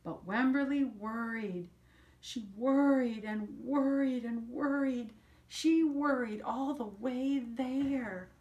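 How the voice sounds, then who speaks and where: An older woman with a fairly high voice reads a picture book to children. She takes it slowly, like a grandmother at storytime, and adds little explanatory asides. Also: darkish tone, lo-fi sound. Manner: explains words and pictures to young listeners.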